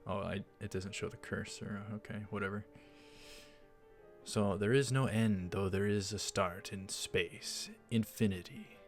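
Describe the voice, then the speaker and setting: A man giving casual, rambling, halting game commentary as the host of a let's play. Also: lowish voice, fairly even intonation. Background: faint game music.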